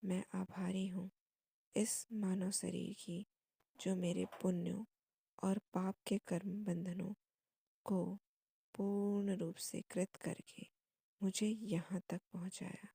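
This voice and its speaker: An Indian woman leads a guided meditation in a soft, husky, breathy voice. Her tone is mellow and hushed, recorded close and dry. She places short phrases between long pauses. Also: medium pitch, little pitch movement, no room sound.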